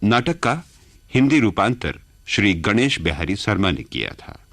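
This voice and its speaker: A man working as a radio announcer, reading scripted credits in a formal, deliberate manner. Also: on the low side, very animated, fairly bright tone, no echo. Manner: measured, deliberate.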